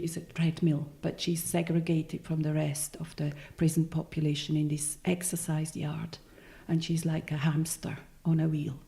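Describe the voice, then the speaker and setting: A Swiss-born woman with a Swiss German accent, a novelist answering interview questions in a thoughtful, halting way that often corrects itself. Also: low-pitched, dark tone. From Switzerland. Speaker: novelist guest.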